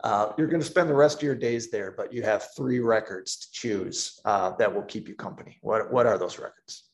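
A man's voice: lowish voice, normal speed. A man hosting a podcast, asking questions in a friendly, casual way, laughing often and repeating words. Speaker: podcast host. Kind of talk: casual interview conversation.

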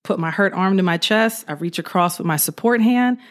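A woman police officer telling an animated story in an interview, acting out what she said at the time. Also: mid-range voice, fairly quick. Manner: animated, acts out her own quotes.

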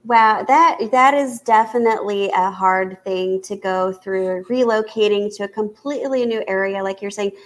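A woman's voice: mid-range voice, normal speed, few pauses. A woman from Kansas hosting a podcast, asking questions and responding in an enthusiastic, affirming way. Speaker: podcast host. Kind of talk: casual interview conversation.

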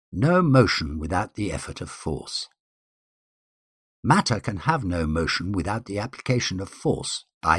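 Male reader, husky and very expressive in pitch, formal scripted delivery, close dry sound. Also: dry recording. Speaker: guest reader. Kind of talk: formal scripted reading of numbered principles.

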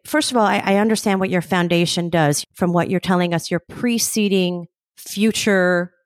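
A woman's voice: fairly low pitch, steady tone, normal speed, dry recording. A woman hosting an interview podcast, asking enthusiastic, complimentary questions. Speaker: podcast host.

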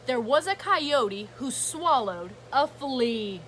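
A woman reading a rhythmic picture book aloud to children like a teacher, animated and sing-song, with asides to her listeners. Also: high-pitched, slight background noise. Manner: animated, sing-song, drawn-out exclamations and gasps; engaging listeners with asides and prompts. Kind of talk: rhythmic storybook read-aloud.

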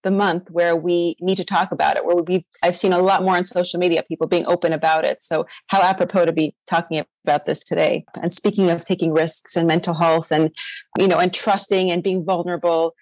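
A woman hosts a podcast interview, chatting eagerly with her guest. Her voice is fairly low. The sound is dry and close, with no room echo. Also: level tone, a little fast, darkish tone. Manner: enthusiastic, chatty. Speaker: podcast host.

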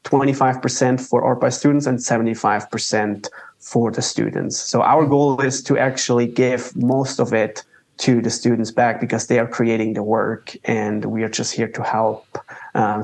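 A man's voice: mid-range voice, moderate pace, vocal fry, husky. Man speaking English, casual. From Switzerland. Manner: relaxed, conversational, with small grammar slips. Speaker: guest entrepreneur. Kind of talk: interview answers.

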